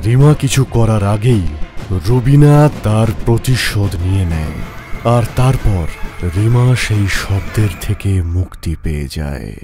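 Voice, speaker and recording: A man giving scripted narration of an animated horror story. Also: fairly low pitch, unhurried. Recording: music behind the narration.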